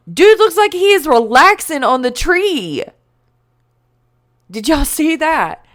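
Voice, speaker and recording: A woman's voice: high voice, fairly bright tone. A young adult woman hosting a reaction video, chatting casually and exclaiming as she watches, with frequent fillers.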